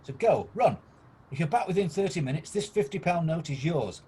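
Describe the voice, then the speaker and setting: An actor playing an elderly reformed miser, excitable and flustered, newly generous, in an amateur radio play. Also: male voice, on the high side, brisk, a little hiss or hum, natural voice. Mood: eager, generous. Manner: exclamatory, flustered, eager.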